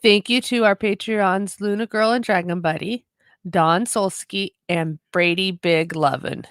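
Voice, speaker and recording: A woman's voice: mid-range voice, unhurried, no room sound, clean studio sound. A woman co-hosting a casual podcast, mostly giving brief agreeing replies and correcting details. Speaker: podcast co-host. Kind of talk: casual chat.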